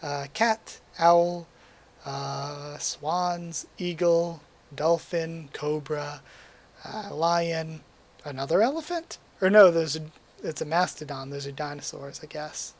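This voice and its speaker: Young man, slightly high voice, going hoarse, relaxed rambling, ums and likes, webcam desk mic. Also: slow pace. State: losing his voice. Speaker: YouTuber. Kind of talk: casual quiz commentary to webcam. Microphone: desk mic at a webcam setup.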